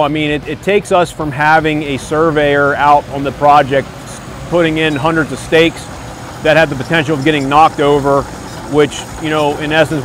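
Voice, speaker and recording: An American man in his forties, a construction company owner, speaking plainly and casually in an interview with frequent "you know" asides. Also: fairly high pitch. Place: outdoors on a construction site. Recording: music bed under the voice. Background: background music.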